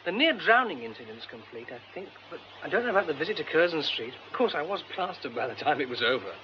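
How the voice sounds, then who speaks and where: An actor playing an excitable, musing young British theatre man in a radio drama. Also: a little high, fast talker, highs cut off, noisy background. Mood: musing, wry.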